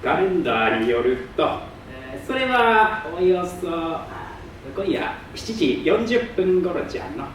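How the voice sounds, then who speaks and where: A man's voice: high voice, sing-song, slow pace, fairly bright tone, full character voice. An actor playing a pompous, imperious old king in a theatrical staged reading, using archaic old-man speech. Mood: lofty, reasoning. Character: pompous old king ruling a tiny asteroid. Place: public hall stage, distant microphone. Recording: echoey hall from far off, faint noise.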